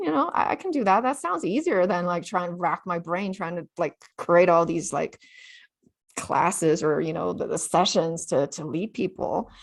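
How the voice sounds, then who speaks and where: A woman author, a native Chinese speaker born in China, telling her travel story in a relaxed interview, full of "you know" fillers. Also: mid-range voice, vocal fry. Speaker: author guest.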